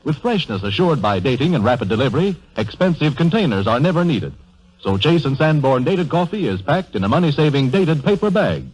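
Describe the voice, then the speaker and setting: A man's voice: on the high side, big pitch swings, a little fast, a little mellow, breathy edge, poor audio. An American man announcing a scripted 1930s radio advertisement in an emphatic, salesmanlike way. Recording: vintage 1930s radio broadcast.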